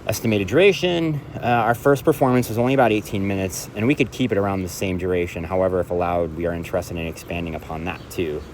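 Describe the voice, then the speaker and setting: A man with a very low, deep voice reads a festival application aloud. He is a composer and performance artist, and his delivery is earnest and measured. Now and then he stops to correct himself. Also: slight background noise.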